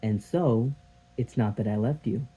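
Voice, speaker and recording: A man's voice: fairly low pitch, measured pace, warm tone, slightly rough. A man reading a stage scene as an evasive, resigned former lover, answering tersely. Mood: defensive, resigned.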